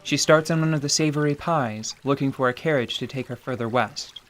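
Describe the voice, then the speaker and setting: A man narrating a scripted tabletop radio drama in a measured, wry way, over a music bed. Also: mid-range voice, fairly bright tone.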